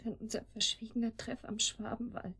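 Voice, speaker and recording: A woman playing a betrayed, pleading yet defiant lover in a radio drama, with breathy, emotional, fragmented delivery. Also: a little high, flat delivery, brisk, a bit bright, heavily acted. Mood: wistful, bitter. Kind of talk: German radio drama acting.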